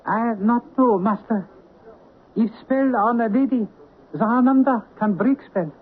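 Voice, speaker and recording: A man playing a loyal island manservant who speaks slow, broken English, in an old radio drama. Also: very high voice, husky, full character voice. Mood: deferential warning. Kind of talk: 1970s radio drama acting. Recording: tinny old radio broadcast.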